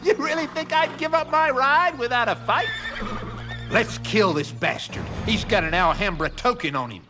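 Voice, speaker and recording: A man's voice: extremely high pitch, lively intonation, normal speed, music in the background. A man playing a wily, folksy American horse trader and swindler in a western video game. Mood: angry. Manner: folksy, chatty, drawn to exclamations.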